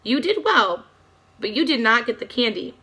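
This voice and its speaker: A woman voicing a stern male schoolteacher in a storybook, formal and deliberate. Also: on the high side, very animated, bright, forceful tone, husky, exaggerated voice. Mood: exasperated.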